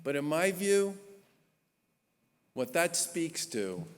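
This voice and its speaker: A man in his sixties, a state governor, giving an earnest, emphatic introduction speech with measured pauses at a gala. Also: fairly high pitch, sing-song. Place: large historic church. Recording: long reverb of a large church hall.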